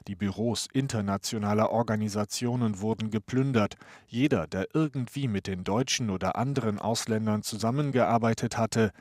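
A man narrating a radio news report in a measured, scripted reading. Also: on the low side, a little mellow, dry recording, studio quality.

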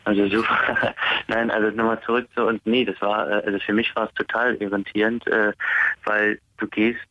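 A man's voice: on the low side, flat delivery, normal speed, no echo. A man from the Netherlands, a prison inmate on day release, talking hesitantly in a casual interview with many fillers and restarts. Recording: dull lo-fi sound.

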